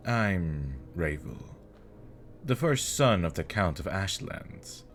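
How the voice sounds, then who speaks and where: A man's voice: sits low, big pitch swings, slow, a bit bright, faint music behind, heavily acted. A man playing a smug, teasing elder demon nobleman with mocking politeness and flirtatious charm, in an ASMR fantasy roleplay.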